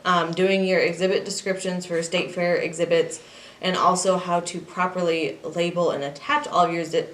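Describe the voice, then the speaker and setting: A woman giving an informal, practical instructional walkthrough in a plain way. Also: lowish voice. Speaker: 4-H youth assistant giving a staff training. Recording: echoey room, distant mic, faint noise.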